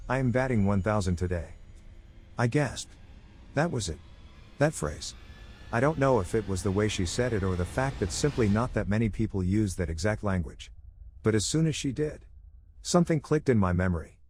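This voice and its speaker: A man's voice: on the low side, lively intonation, moderate pace, darkish tone, slight background noise. A man reading a scripted first-person horror story as its narrator.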